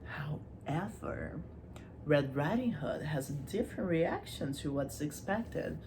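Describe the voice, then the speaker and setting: A low-pitched woman talks through a literary analysis in a casual, chatty way. She is informal and playful, dropping asides to the viewer. Background noise is audible in the recording. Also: expressive, takes it slowly, a little mellow, rough recording. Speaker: host of a literature video series.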